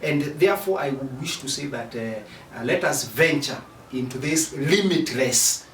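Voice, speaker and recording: A man with a Kenyan accent speaking formally in an interview, in a deliberate, emphatic way that repeats phrases for weight. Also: medium pitch, expressive, a bit bright. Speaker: interviewed commentator on youth employment. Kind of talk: formal spoken interview advice. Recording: echoey, distant mic, faint noise.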